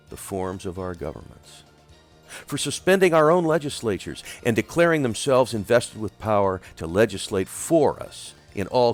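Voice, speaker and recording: A man reading a formal historical document as a solemn, oratorical recitation, with deliberate phrasing and emphatic swells that fall away at phrase endings. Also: lowish voice. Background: music.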